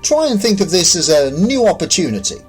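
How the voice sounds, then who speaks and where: Man narrating as ancient otherworldly male visitor, patient, reasoning, fairly high pitch, varied intonation, sci-fi audiobook. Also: very animated, fairly quick, faint music behind. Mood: reassuring.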